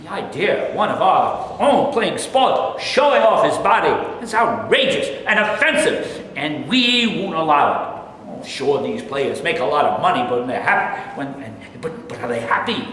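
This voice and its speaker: A man's voice: fairly high pitch, very animated, normal speed, fairly bright tone, slight background noise. A man reading the part of a strict religious father in a staged play, stern and lecturing. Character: strict pious father, middle-aged man. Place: theater stage. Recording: echoey hall with long reverb.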